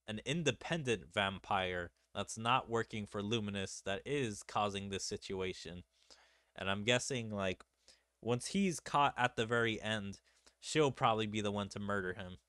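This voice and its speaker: A man's voice: lowish voice, expressive, unhurried, fairly bright tone, clean tone, no room sound, clean studio sound. A man giving casual playthrough commentary as a gaming streamer. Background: game music.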